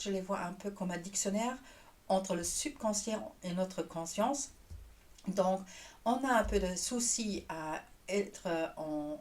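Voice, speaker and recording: A woman with a Luxembourgish accent, a native Luxembourgish speaker, introducing herself on her channel as a tarot reader and pausing often to find her French words. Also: fairly low pitch, a little mellow. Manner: hesitant, searching for French words with restarts. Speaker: tarot reader and energy worker. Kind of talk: casual YouTube introduction.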